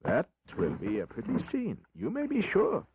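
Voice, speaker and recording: Worn out prime minister, wry and theatrical, very animated voice, history radio play. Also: male voice, mid-range voice, normal speed, noisy background. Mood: wry, resigned. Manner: theatrical, wry, deferential to the king. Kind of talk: dramatized history audio acting.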